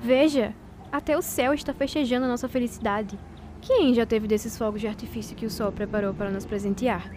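A woman's voice: fairly high pitch, steady tone, a little fast, music in the background, close to an everyday voice. A woman playing a proud young bride in a radio drama, speaking with elegant, mocking false sweetness. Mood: falsely sweet.

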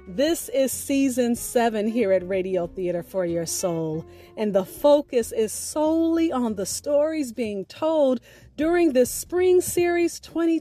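A woman podcast host addressing her listeners with expressive, deliberate enunciation as she makes sign-off announcements. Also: on the high side.

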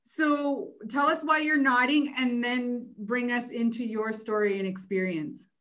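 A woman hosting a casual conversation in an excitable, gushing manner. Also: on the high side, a little slow. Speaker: host. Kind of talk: casual, animated chat.

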